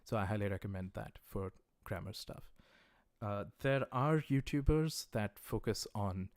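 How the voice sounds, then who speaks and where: A man with a lowish voice teaches a livestreamed class in an easygoing, chatty way, often pausing on "uh" and "um". He sounds encouraging as he speaks straight to his viewers. The sound is close and dry, with no room echo. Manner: relaxed, conversational, many "uh" and "um" fillers; encouraging, recommends resources directly to viewers. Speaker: language teacher. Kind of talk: casual livestreamed lesson.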